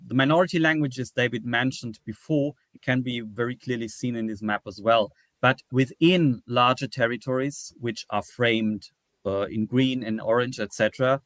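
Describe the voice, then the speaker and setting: A man lecturing in an academic webinar, explaining slides in a halting, step-by-step way. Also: mid-range voice, fairly bright tone, dry recording. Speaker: academic presenter.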